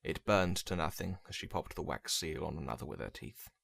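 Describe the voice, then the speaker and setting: A man reading dramatic fiction as a narrator, in a brooding, measured, theatrical way. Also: very deep voice, animated, creaky, fairly bright tone, no echo, clean studio sound. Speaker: story narrator. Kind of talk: dramatic scripted story narration.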